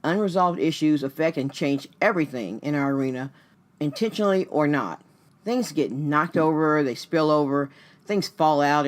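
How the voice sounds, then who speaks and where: A woman hosting a radio show as a life coach, speaking earnestly and directly to the listener in a scripted but conversational motivational style. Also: low-pitched. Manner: earnest, direct address to the listener with rhetorical questions.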